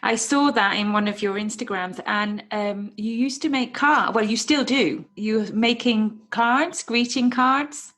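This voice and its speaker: A woman's voice: on the high side, measured pace, hardly any pauses, a bit bright. A woman, hosting a casual interview in an interested, encouraging way. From North Wales. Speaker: podcast host, interviewer.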